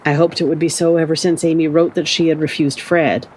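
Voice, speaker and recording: A woman playing a gentle, wise middle-aged mother in an audio play, speaking in a calm, measured, caring way. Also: deep voice, slight background noise, lightly acted, low-quality recording. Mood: calm, careful.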